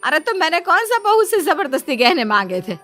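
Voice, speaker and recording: A woman's voice: extremely high pitch, sing-song, a little fast, fairly bright tone, faint music behind, voice pushed up, exaggerated voice. A woman voicing a greedy, crafty middle-aged mother-in-law in a cartoon story, bossy and wheedling. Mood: indignant.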